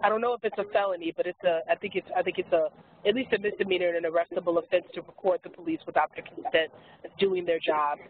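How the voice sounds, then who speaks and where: A woman speaking as an activist on a webinar panel, hoarse from losing her voice, emphatic and earnest with frequent restarts. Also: on the low side, fairly even intonation, fast. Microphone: telephone handset. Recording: tinny phone line with faint hiss.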